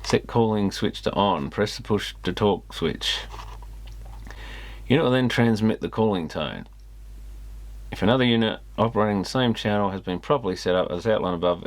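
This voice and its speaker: A man hosting a repair video, casually commenting and reading a manual aloud with muttered asides. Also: sits low. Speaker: host of an electronics repair video channel.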